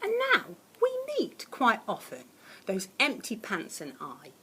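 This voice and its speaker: A woman reading a children's picture book aloud as a teacher would, expressively and dramatically, with hushed suspense and swells of emphasis. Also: medium pitch, huge pitch swings, unhurried, very noisy. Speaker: primary school teacher reading a story to children.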